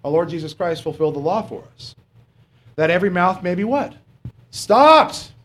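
A middle-aged man preaches in a plain, unpolished way, with a slightly high voice. He stops often on fillers and throws rhetorical questions at his listeners. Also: sing-song, normal speed, a bit bright. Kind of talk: sermon.

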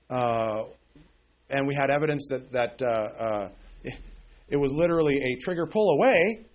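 Brief man, 40s, bible-study preacher, halting delivery, keeps asking "okay?". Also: mid-range voice. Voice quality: halting, many fillers and restarts, checks in with "okay?". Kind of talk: bible teaching sermon.

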